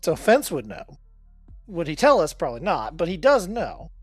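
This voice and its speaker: A joking tabletop player who fires off quick questions and dry sarcasm at a middle pitch. The voice is recorded close and dry, with faint music in the background. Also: huge pitch swings, breathy edge, no room sound. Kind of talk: casual tabletop game banter.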